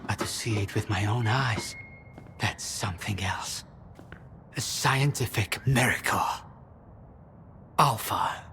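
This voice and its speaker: An actor playing a composed, calculating and condescending scientist in a video game cutscene. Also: male voice, on the low side, takes it slowly, pauses often, background noise. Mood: awed, deliberate.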